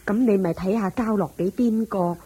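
An actress playing the devoted, flattering wife of a rich old master in a Cantonese radio drama, solicitous and deferential. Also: female voice, mid-range voice, moderate pace, husky.

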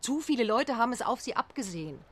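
A woman's voice: fairly high pitch, very animated, fast talker. An actress playing a no-nonsense female private detective in a radio drama, direct, businesslike and insistent.

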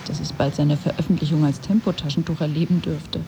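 A woman's voice: low-pitched, steady tone, fairly quick, background noise. A woman acting a resentful, sardonic figure who narrates her silent judgements with dry bite in a radio play. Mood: scornful. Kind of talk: interior monologue in a radio play.

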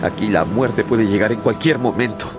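An actor playing a young sailor who asks nervous questions in a radio drama. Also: male voice, fairly low pitch, moderate pace, lightly acted. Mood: uneasy. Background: dramatic music.

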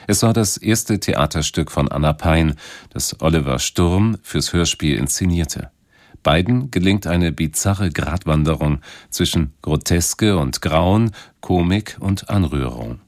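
Man, low voice, dry close studio sound, scripted narration, radio presenter. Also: expressive, unhurried, darkish tone, no echo. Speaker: presenter introducing a radio play.

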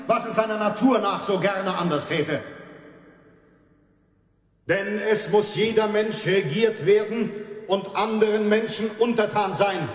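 An actor playing a stern preacher in a radio play, declaiming a sermon that demands obedience. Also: male voice, high-pitched. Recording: very echoey, long hall reverb.

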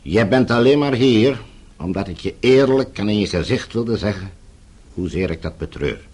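Deep, husky, calmly menacing official. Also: male voice. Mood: measured, conciliatory. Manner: measured, condescending, formally polite. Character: smooth-talking, calmly menacing middle-aged Eastern Bloc official. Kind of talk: Dutch radio play acting.

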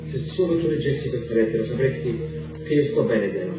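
A man's voice: mid-range voice, expressive, fairly quick, close to an everyday voice. An actor playing a male prisoner reading out his tender, wistful letter home as a dramatic monologue. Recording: distant, very echoey, muffled, music over it. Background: music.

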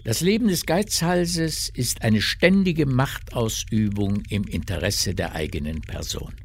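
A man's voice: medium pitch, lively intonation, measured pace, slight background noise. A man narrating a literary radio drama from a script.

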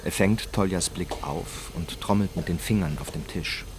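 An actor as the sober, measured narrator of a radio crime drama, telling it in standard German. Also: male voice, low voice, background noise, natural voice. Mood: sober, suspenseful. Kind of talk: scripted present-tense narration in a radio drama.

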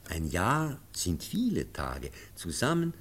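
Low-voiced, lilting, kindly professor. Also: male voice, wildly swooping pitch, slow, slight background noise, heavily acted. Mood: patient, tender. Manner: patient, simple explaining. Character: kindly, patient male professor and guardian. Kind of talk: German radio drama acting.

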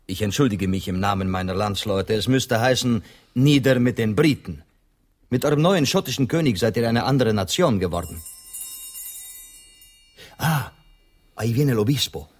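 A man acting in a radio play as a courteous, talkative Spanish gentleman who guides foreign visitors. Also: mid-range voice, lively intonation, normal speed, long pauses, natural voice. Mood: apologetic, polite. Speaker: actor.

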